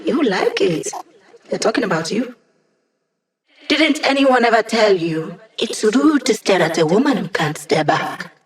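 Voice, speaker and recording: A woman's voice: medium pitch, animated, a little slow, close to an everyday voice. Cheeky, breezy young hacker hologram voiced by a woman. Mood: dry, teasing. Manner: breezy, wry, playful, casual. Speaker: squad tech support character. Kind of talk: video game voice lines.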